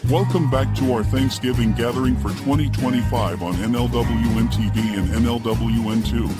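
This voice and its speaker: Male host, mid-pitched, presenting scripted program introductions over loud backing music. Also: steady tone, moderate pace.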